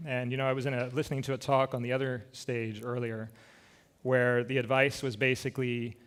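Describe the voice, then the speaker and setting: A man speaks from a conference stage into a microphone. His pitch sits in the middle of the usual range, and his talk is loose and conversational, with a dry, self-deprecating humor and plenty of filler words. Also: flat delivery, brisk, clean tone. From Scotland.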